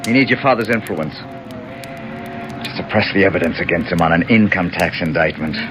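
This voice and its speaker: A man's voice: sits low, a little fast, background noise, lightly acted. A man playing a hardboiled American investigator in a radio drama, wry, clipped and blunt, with an American accent. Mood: blunt, exasperated. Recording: music behind the voice.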